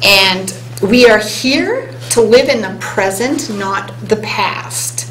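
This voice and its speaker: A woman on a hypnosis conference panel, speaking earnestly and reading an inspirational passage aloud, slowing down on key words. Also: medium pitch, animated, a bit bright. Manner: earnest, inspirational, speeds up and slows for emphasis. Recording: roomy hall sound, faint hum.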